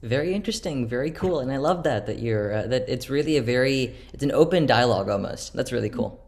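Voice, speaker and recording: A man's voice: mid-range voice, lively intonation, quick pace, darkish tone. A male reporter hosting an interview in a polite, conversational style.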